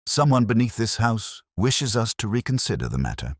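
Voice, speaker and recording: A man voicing a precise, cool, watchful male detective in an audiobook story, speaking in a measured, analytical way. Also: lowish voice, sing-song, no room sound, clean studio sound, voice pushed up. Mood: quietly grave.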